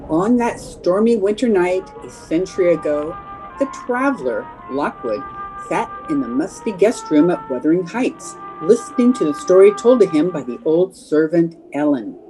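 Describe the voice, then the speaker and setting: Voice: medium pitch, expressive, normal speed, warm tone, faint music behind, lightly acted, poor audio. An actor playing a solemn narrator, setting a gothic scene in scripted old-time radio style. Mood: ominous. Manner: solemn, atmospheric.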